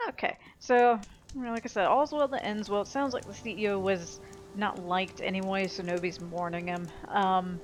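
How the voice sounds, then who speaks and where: A woman giving rambling, casual commentary while playing a video game. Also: medium pitch. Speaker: gameplay streamer. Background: game music.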